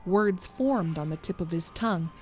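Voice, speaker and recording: A woman's voice: on the low side, animated, moderate pace, a little mellow, faint music behind. A woman narrator reading a scripted story.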